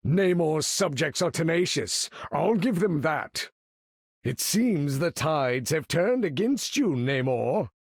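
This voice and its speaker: An actor playing a proud, imperious older mutant leader in a video game, speaking in a grand, commanding, formal manner with a husky, gravelly voice. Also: male voice, a little high, sing-song, takes it slowly, dry recording, studio quality, heavily acted. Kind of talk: theatrical video game character acting.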